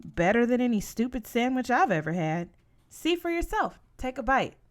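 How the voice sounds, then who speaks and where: A woman's voice: fairly high pitch, very animated, moderate pace, voice pushed up. A woman voicing a kind, upbeat female PE coach in a story, with a friendly, coaxing manner. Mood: encouraging.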